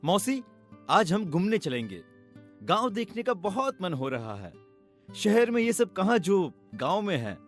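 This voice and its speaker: A man's voice: high-pitched, big pitch swings, measured pace, faint music behind. A man voicing a whiny, curious young boy in a cartoon story, with an exaggerated, pleading delivery. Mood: eager. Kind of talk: Hindi cartoon story acting.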